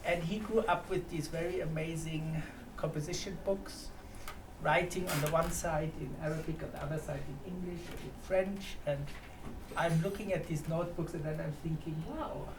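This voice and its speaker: A man from Germany facilitating a writing workshop, talking informally and reflectively, haltingly posing questions to the group. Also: fairly high pitch, fairly even intonation. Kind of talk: informal spoken introduction to a workshop. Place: echoey room at a live workshop. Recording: echoey room, distant mic, clear background noise.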